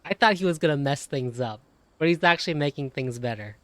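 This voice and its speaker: A woman with a very deep voice comments casually on a game as she plays. She is chatty and invested, reacting as things unfold. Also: animated, brisk, no echo. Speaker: gaming commentator.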